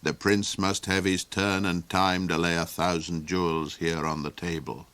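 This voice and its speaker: A man voicing a duke's sly agent, measured, dry and unruffled. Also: sits low, level tone, fairly bright tone, pitched below the performer's own voice. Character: sly, calm male agent to a duke.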